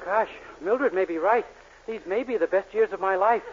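Innocent young male clerk played by an actor, high tenor pitch, wholesome and earnest, noisy vintage radio comedy. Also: normal speed, close to an everyday voice. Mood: earnest, bewildered. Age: young adult. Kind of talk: 1940s radio sitcom acting. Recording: muffled, noisy 1940s radio recording.

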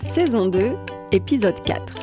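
A woman from France co-hosting a travel podcast in a bright, upbeat way. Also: mid-range voice, expressive, unhurried, over music. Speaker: podcast co-host. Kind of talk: scripted travel podcast talk.

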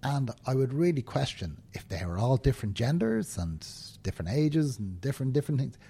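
A man's voice: mid-range voice, sing-song, fairly quick, gravelly, mellow, husky. A man in his fifties with a Dublin Irish accent, speaking earnestly as an interview guest and often checking in with "right?". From Ireland. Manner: earnest, emphatic, tag questions like "right?". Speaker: interview guest, banking professional. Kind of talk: conversational interview. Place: indoors, seated conversation.

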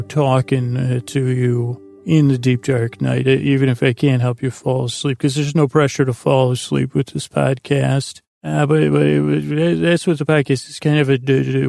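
Man, creaky mid-pitched voice, podcast host, gentle self-deprecating bedtime ramble, halting false starts, dry close recording. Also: dry recording. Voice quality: creaky, gravelly. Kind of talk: rambling bedtime monologue to help listeners sleep.

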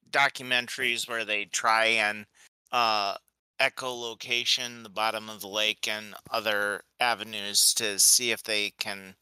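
A man co-hosting a podcast, talking slowly and deliberately with fillers in casual chat. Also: mid-range voice, sharp tone, clean tone, no room sound, rough recording. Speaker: podcast co-host.

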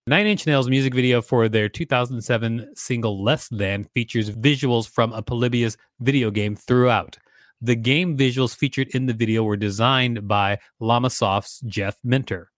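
A man's voice: medium pitch, normal speed, no echo, studio quality. A man co-hosting a podcast, reading a scripted encyclopedia-style article aloud.

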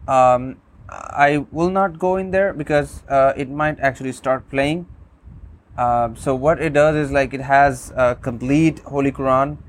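A man whose first language is Urdu, calmly demonstrating a phone app, with many fillers and restarts. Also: medium pitch, a little slow. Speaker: app reviewer.

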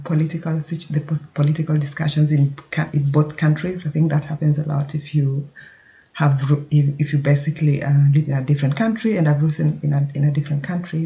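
A man with a Kenyan accent explaining things informally as a solo podcast host, haltingly, as if thinking aloud. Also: a little high, gravelly, dark tone, low-quality recording.

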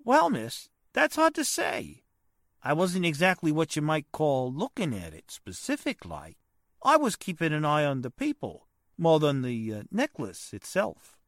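A man's voice: fairly high pitch, huge pitch swings, a little slow, a bit bright, dry recording, studio quality, full character voice. A man playing a defensive working-class private eye in 1940s radio style, folksy and ungrammatical. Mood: pondering. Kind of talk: 1940s radio drama acting.

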